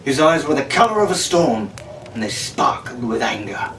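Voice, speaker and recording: A man's voice: mid-range voice, big pitch swings, normal speed, fairly bright tone. A man narrating an adventure story in a dramatic, scripted audiobook style. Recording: roomy, distant mic, faint noise.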